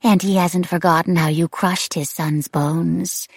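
A woman voicing a sinister, demented female wraith who taunts in a breathy, whispering way with sing-song repetition. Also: fairly low pitch, fairly even intonation, unhurried, dry recording, studio quality, heavily acted. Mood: menacing glee.

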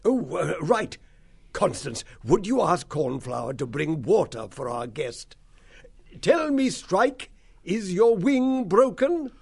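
A man voicing a frail, kindly old male abbot mouse who speaks gently and stammers a little. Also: sits high, sing-song, takes it slowly, vocal fry, breathy edge, pitched above the performer's own voice, exaggerated voice. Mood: flustered. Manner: gentle, mildly stammering, wondering. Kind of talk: audiobook character voice.

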